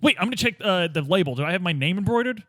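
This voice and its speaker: A man's voice: high voice, animated, rapid-fire, a bit bright, no echo, studio quality. A man playing a tabletop roleplaying game, chatting and improvising casually in a joking, slangy way. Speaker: player in tabletop game podcast.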